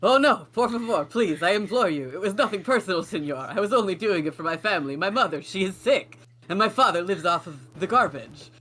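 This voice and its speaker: A dashing, swaggering male cat, read by a man, who declaims every line with bright, sharp theatrical flair. The voice sits fairly high for a man. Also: lively intonation, brisk, exaggerated voice. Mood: pleading. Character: swashbuckling male cat, bold and dramatic. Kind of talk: amateur table read.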